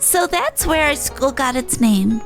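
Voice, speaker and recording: Eager game schoolchild , played by a woman: high, swooping, excitable, a bit defiant, over music. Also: a little slow, gravelly, exaggerated voice. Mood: curious. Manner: excitable, exclaiming. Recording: music under the voice.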